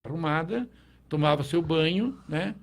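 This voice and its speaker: A middle-aged Brazilian man, a teacher, recalling his past in a relaxed interview, with frequent restarts and tag questions. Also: a little high, normal speed, vocal fry. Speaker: guest, teacher. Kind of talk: conversational interview.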